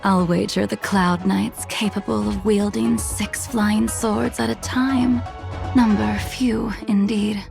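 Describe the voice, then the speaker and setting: A calm, knowing swordswoman in a video game dub. She speaks very slowly and formally, with a creaky edge to her voice. She sounds composed and aloof, over faint music. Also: mid-range voice. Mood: composed, appraising.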